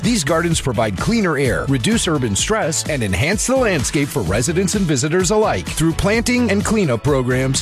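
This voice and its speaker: An American man reading scripted promotional radio spots as an announcer. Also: a little high. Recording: radio spot with music bed.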